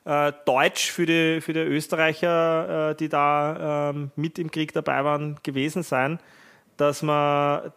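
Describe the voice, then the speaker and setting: Male co-host, somewhat high voice, explains at length with hedges. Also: little pitch movement, measured pace, fairly bright tone, clear, resonant tone. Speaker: podcast co-host. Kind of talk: casual podcast chat.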